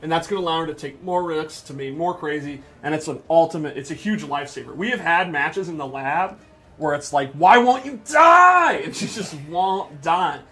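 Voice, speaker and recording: A man's voice: fairly high pitch, animated, normal speed, pushed and bright. A man presenting gameplay in casual, unscripted commentary, explaining things with enthusiasm and emphasis.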